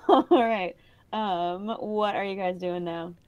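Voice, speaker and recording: A woman improvising narration as game master of a tabletop game, pausing often between phrases. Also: medium pitch, darkish tone, clear, resonant tone.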